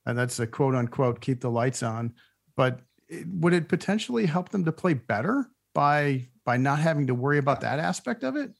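A man hosting a golf panel, asking questions and thinking aloud in a relaxed way. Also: a little high, moderate pace, gravelly. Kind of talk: casual panel discussion.

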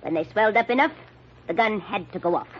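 An American actress playing a middle-aged, bitter and commanding murderer in an old-time radio mystery. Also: female voice, medium pitch, lively intonation, fast talker, highs cut off, a little hiss or hum, close to an everyday voice. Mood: grim confession. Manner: commanding, sardonic. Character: bitter, scheming older woman, a cornered murderer.

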